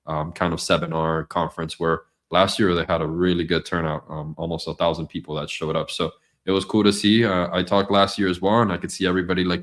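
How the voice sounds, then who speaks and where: A man with a very deep voice co-hosting a business podcast. He is hoarse, close to losing his voice, and rambles through his points with many fillers and restarts. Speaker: podcast co-host. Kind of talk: casual business podcast chat. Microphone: studio mic.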